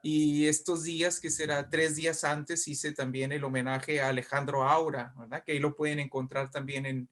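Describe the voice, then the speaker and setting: Higher-voiced man chatting as a guest. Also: level tone, moderate pace, few pauses, fairly bright tone. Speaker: invited guest, writer and poet. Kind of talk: casual interview conversation.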